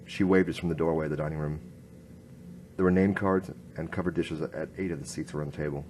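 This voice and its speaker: A man playing a grim, hard-boiled noir inspector, narrating his case in clipped, deadpan radio-drama style. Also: a bass voice, fast, a little hiss or hum. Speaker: narrator and lead actor.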